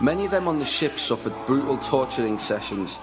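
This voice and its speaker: A man playing a middle-aged, conflicted slave merchant in a radio drama, speaking in a measured, formal, earnest way. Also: medium pitch, lively intonation, natural voice. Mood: grave, reflective. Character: conflicted older slave merchant, male, firm yet humane. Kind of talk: radio drama dialogue and first-person narration. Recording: music bed under the voice.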